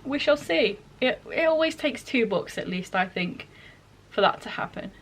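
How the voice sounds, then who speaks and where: A woman's voice: on the high side, lively intonation, normal speed. A woman vlogger chatting informally to her viewers, correcting herself and wandering into asides as she goes. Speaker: book vlogger, host. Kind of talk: casual chatty vlog talk to viewers.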